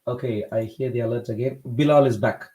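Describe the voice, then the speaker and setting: A man from India hosting a debate livestream, talking in a measured, polite way with frequent hesitations. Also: medium pitch, dark tone, low-quality recording. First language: not Hindi. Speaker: livestream host.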